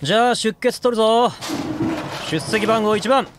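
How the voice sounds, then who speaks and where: Young male teacher, casual, blunt, exasperated but kind, anime drama, loud background noise. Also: high-pitched, a little slow. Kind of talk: anime audio drama acting.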